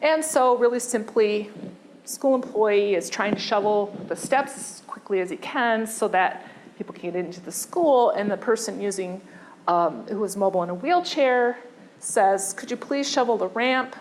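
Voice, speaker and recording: Woman lecturing with halting restarts. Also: mid-range voice, slight background noise, rough recording. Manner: conversational, pauses with fillers and restarts. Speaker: design lecturer.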